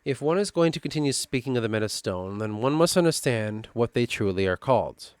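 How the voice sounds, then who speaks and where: A man with a Midwestern accent reading through his own fantasy lore notes and thinking aloud, halting and restarting as he goes. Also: medium pitch, animated, clear, resonant tone, dry recording. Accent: Midwestern American. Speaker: worldbuilding writer.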